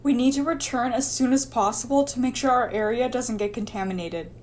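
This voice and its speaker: A woman's voice: fairly high pitch, a little fast, darkish tone, a little hiss or hum, close to an everyday voice. A woman acting as a frantic female survivor in a horror audio drama, melodramatic and panicked. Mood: anxious.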